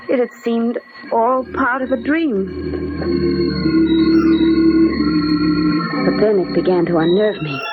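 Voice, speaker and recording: A distraught young mother going mad, old radio drama, high theatrical voice, muffled with music under it. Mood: haunted. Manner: theatrical, breathless pleading and intense brooding narration. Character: distraught young mother slipping into madness, haunted and fragile. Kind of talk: 1940s radio drama acting, first-person suspense narration. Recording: dull 1940s radio recording with music behind.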